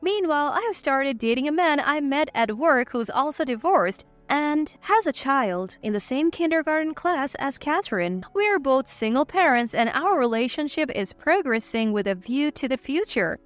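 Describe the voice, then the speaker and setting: Female story narrator, very high voice, animated delivery, music underneath. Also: moderate pace, hardly any pauses. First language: English. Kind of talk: scripted first-person story narration.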